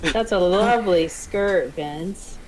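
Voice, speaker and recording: A woman's voice: on the low side, slow pace, darkish tone, poor audio. A woman chatting casually in joking, teasing banter on an online voice chat.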